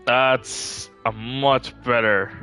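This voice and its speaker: A man's voice: mid-range voice, fairly even intonation, very slow, pushed and bright. A man doing casual live gaming commentary, chatting and thinking aloud as he plays. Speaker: game livestreamer. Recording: music playing behind. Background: music.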